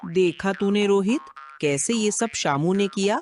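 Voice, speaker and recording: A woman's voice: medium pitch, a little slow, darkish tone, soft background music, close to an everyday voice. A woman playing an indignant young villager in a cartoon story. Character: indignant young villager who spied on a cheat.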